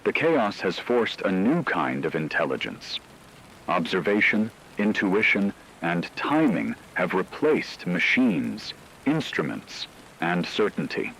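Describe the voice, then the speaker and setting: Male narrator for dramatic scripted story: deep, unhurried, grave and portentous, over faint ambient noise. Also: very animated, creaky, fairly bright tone, rough recording. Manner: grave, measured, portentous delivery with deliberate pauses.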